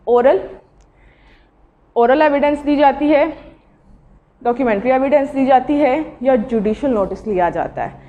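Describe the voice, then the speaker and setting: A woman with a Pakistani accent giving an informal law lecture, speaking haltingly and mixing in English legal terms. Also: sits high, dark tone. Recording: dull lo-fi audio.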